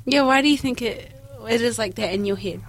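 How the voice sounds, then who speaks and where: A woman's voice: on the high side, a little fast. A woman interviewing in a casual chat, listening closely and asking questions. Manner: attentive, brief backchannels. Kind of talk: casual conversation.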